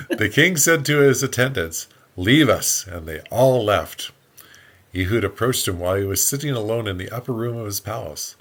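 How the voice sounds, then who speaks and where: A man with a fairly low, husky voice reads scripture aloud for a podcast. His intonation is very lively, and he slips easily between the text and wry, casual asides. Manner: jokey, wry. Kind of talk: Bible reading with casual, joking asides.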